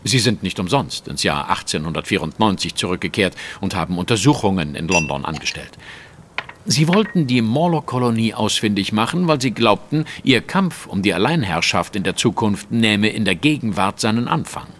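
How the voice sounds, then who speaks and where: An actor playing a shrewd, self-assured Victorian detective in an audio drama, explaining things in a precise, assertive way. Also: male voice, lowish voice, sing-song, normal speed, a bit bright. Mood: confident, incisive.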